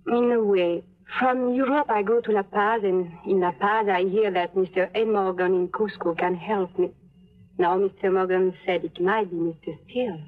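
A woman's voice: mid-range voice, steady tone, moderate pace, lightly acted. An actress voicing an anxious young refugee woman with a Romanian accent, speaking formal careful English in a 1950s radio drama. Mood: pleading. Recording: tinny old radio broadcast.

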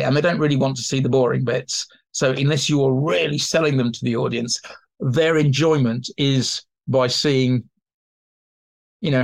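A man speaking as an expert guest, explaining informally in an animated way and slipping into acted-out examples. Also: mid-range voice, vocal fry. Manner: animated, acts out imagined quotes. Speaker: expert guest, theatre historian. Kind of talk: informal explanatory interview talk.

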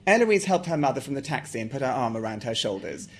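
A man's voice: medium pitch, big pitch swings, fast, slightly rough. A man with an English accent, from South Africa, talking about his novel and reading from it aloud. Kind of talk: author talk and reading aloud.

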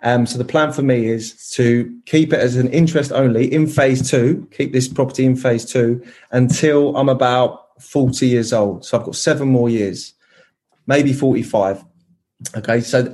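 A man's voice: medium pitch, fairly even intonation, measured pace, darkish tone. Chatty man in his thirties. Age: 30s. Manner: enthusiastic, many restarts and fillers. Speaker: podcast guest. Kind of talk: casual chat.

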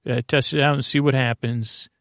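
Middle-aged male podcast host, gravelly and creaky, meandering bedtime monologue, dry close sound. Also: medium pitch, fairly even intonation, normal speed, no room sound. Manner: meandering, self-interrupting, soft-spoken.

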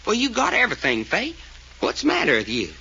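Bright, folksy detective, vintage radio. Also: male voice, high voice, huge pitch swings, fast, gravelly, slightly rough, exaggerated voice. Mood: folksy, puzzled. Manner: folksy, ungrammatical, stammering when flustered. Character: folksy, good-natured, excitable male detective with drawling slang. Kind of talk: 1940s radio serial acting. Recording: dull vintage radio recording.

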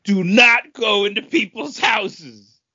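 A man's voice: sits high, slow pace, sharp tone, slightly rough, lo-fi sound. A man in casual podcast chat, joking along with his co-hosts in a droll way.

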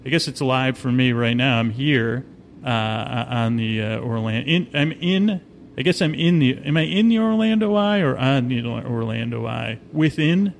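Creaky-voiced man, rambling soothingly. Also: animated, measured pace. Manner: meandering, hesitant, goofy. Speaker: host of a sleep podcast. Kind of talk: rambling, soothing bedtime monologue. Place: inside an observation wheel cabin. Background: low ambient hum, faint.

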